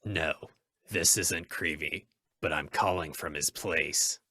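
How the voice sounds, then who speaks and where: A man's voice: very deep voice, takes it slowly, sharp tone, slightly rough, heavily acted. A man acting a stern, commanding masked vigilante in an old-time radio drama, speaking in firm, menacing declarations. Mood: cool menace. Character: masked male crime-fighting vigilante, stern, commanding, resolute.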